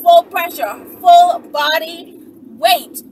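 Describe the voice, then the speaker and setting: A woman's voice: very high voice, steady tone, takes it slowly, a little mellow. A woman in her thirties telling a story dramatically and off the cuff, as a vlogger talking in a car. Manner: dramatic, acting out quoted lines. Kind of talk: animated improvised storytelling. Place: inside a car, driving. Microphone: dashboard camera at arm's length. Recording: noisy car, mic at a distance.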